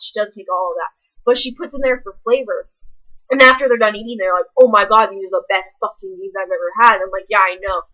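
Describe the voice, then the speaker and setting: A woman's voice: on the high side, sharp tone, lo-fi sound. A young woman, ranting casually to camera in a blunt, rambling way full of swearing. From American South. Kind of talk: casual vlog rant.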